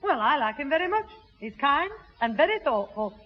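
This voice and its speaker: Brisk proud mum, high husky voice, touchy, old radio comedy, muffled recording. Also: female voice, big pitch swings. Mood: indignant. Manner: brisk, indignant. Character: proud, easily offended schoolboy's mother. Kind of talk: 1960s radio sitcom acting.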